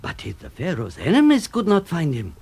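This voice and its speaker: Very husky, formal police inspector. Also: male voice, on the high side, huge pitch swings, a little mellow, exaggerated voice. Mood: puzzled, curious. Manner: formal, deliberate, questioning. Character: deliberate, puzzled male police inspector in Egypt. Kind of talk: radio drama acting.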